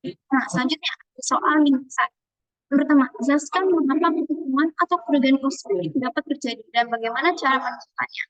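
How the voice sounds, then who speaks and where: A young woman speaks in a high voice at a fast clip, going through exercise answers as a student presenter. The sound is dry, with very little room in it. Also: no echo, dull audio. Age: young adult.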